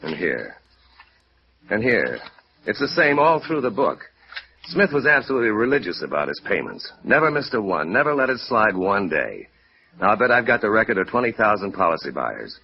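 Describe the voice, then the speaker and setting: An earnest middle-aged insurance man talks in a fairly low voice with very animated rises and falls. He is sentimental and conversational, in 1950s radio drama style on a muffled old recording. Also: normal speed, gravelly. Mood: earnest, wistful.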